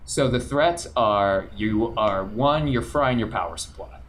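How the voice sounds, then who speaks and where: A man narrating as game master of a tabletop game, relaxed and descriptive, with frequent fillers. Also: fairly low pitch, a little slow.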